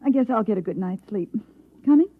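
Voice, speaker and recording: An actress playing a caring, reassuring wife in an old-time radio drama, with a gentle manner. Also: female voice, medium pitch, animated, fairly quick, husky. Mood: tender. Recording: tinny, phone-like old radio recording.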